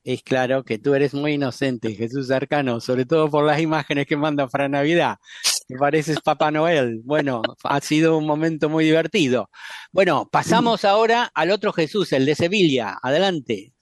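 A man's voice: fairly high pitch, moderate pace, dry recording, studio quality. Friendly man hosting a radio talk. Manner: calm, friendly moderator passing the turn. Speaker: radio show host. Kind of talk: casual spiritual radio chat.

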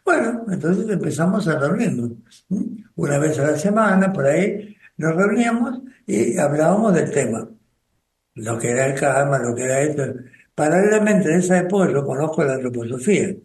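An older man with an Argentine Spanish accent speaks slowly and thoughtfully, with pauses and restarts, as he recalls his past in a radio conversation. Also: on the high side, expressive, darkish tone, reverberant. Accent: Rioplatense Spanish. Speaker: spiritual group coordinator and radio guest.

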